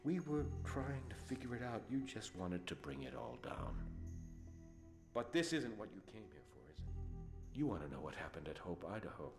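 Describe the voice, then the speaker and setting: A man's voice: low-pitched, sing-song, normal speed, gravelly, music in the background, full character voice. An actor playing a scheming man who taunts the hero with a secret he holds, in a game cutscene. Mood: taunting. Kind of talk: video game cutscene acting.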